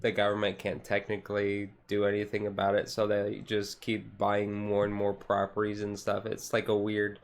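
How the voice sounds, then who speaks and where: A man's voice: lowish voice, monotone, normal speed, hardly any pauses. A man chatting casually on a chill livestream, rambling along with lots of "like" and "and stuff". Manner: rambling, hedging with repeated "like" and "and stuff". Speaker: streamer. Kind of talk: relaxed ASMR chill stream.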